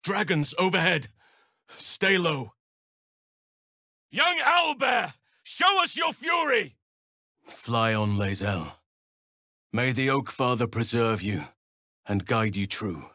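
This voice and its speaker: An actor playing an earnest, battle-seasoned druid and protector of nature in a fantasy video game, speaking in a measured, solemn manner with formal, old-fashioned phrasing. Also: male voice, medium pitch, big pitch swings, bright, forceful tone, no room sound, clean studio sound, full character voice. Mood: urgent, commanding.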